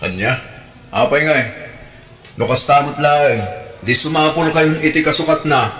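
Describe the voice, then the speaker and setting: A man's voice: a little high, moderate pace, fairly bright tone, natural voice. A man acting as a caring, dutiful grown son in an Ilocano radio drama, in a roomy recording. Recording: echoey, roomy voice.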